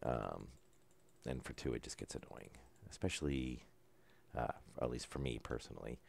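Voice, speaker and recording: A man calmly talking through a survival game as he plays, low-key and unhurried, with no put-on enthusiasm. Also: creaky, a little hiss or hum. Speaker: gameplay commentator. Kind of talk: casual let's-play commentary.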